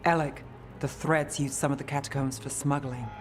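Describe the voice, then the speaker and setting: A woman's voice: a bass voice, steady tone, normal speed, music in the background. A woman playing a cool, world-weary investigator in a video game, speaking in a dry, clipped way. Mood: guarded, terse.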